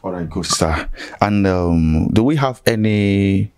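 Interviewer: Nigerian man, thirties. Low-pitched, hesitant, respectful to his guest, close dry handheld mic. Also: slow, a little mellow, clean tone, no room sound. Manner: deferential, hesitant. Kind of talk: on-location interview questions. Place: small furnished room indoors, on a sofa. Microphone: handheld interview microphone.

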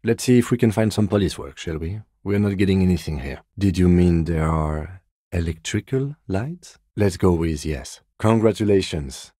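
An actor playing a composed middle-aged police detective in a video game, speaking in a measured, formal, dryly polite way. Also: male voice, sits low, warm tone, breathy edge, dry recording, studio quality. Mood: businesslike, dry. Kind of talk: dramatic game dialogue, separate voice lines.

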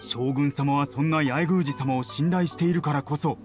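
Actor as formal, higher-voiced male palace guard. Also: moderate pace, soft background music, close to an everyday voice. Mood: firm. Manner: polite, stiff, official. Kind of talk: Japanese anime game voice acting.

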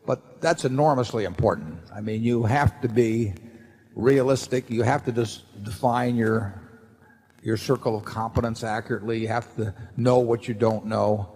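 A man's voice: mid-range voice, moderate pace, a little mellow, breathy edge. An older man seated at a table on a large stage, answering shareholders' questions off the cuff in a folksy, rambling way with many restarts. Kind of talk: unscripted answer at a shareholder meeting. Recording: long hall reverb from the PA.

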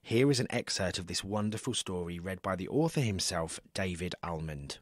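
Man, podcast presenter, lively pitch, dry close sound. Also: normal speed, no room sound. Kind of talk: book introduction.

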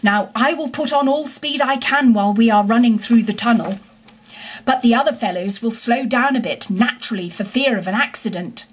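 A woman's voice: on the high side, moderate pace, breathy edge. A woman voicing a stern but kind-hearted male engine driver in a storybook, earnest and plain-spoken. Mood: resolute.

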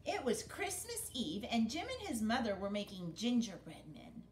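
A middle-aged woman reading a picture book aloud to children in an expressive, dramatic storytelling manner. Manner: dramatic, with pauses and gasps for effect. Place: living room by a brick fireplace, indoors. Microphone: camera a short distance away. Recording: roomy home recording with rumbling noise.

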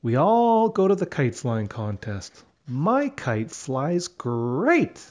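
A man narrating a children's storybook aloud in an expressive, deliberate way. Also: on the high side.

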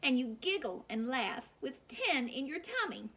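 A woman's voice: high-pitched, sing-song, measured pace, bright, forceful tone, husky, pitched above the performer's own voice, exaggerated voice. A woman voicing a confident, agreeable storybook cook with a singsong rhyming delivery. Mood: assured.

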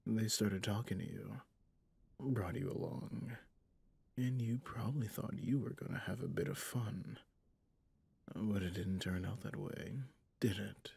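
A man's voice: lowish voice, a little mellow, full character voice. A man playing a stern, menacing, centuries-old vampire hunter in an ASMR roleplay, commanding and often dropping to a whisper. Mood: knowing, taunting. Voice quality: husky, breathy, gravelly, often dropping to a whisper. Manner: slow, commanding, interrogating.

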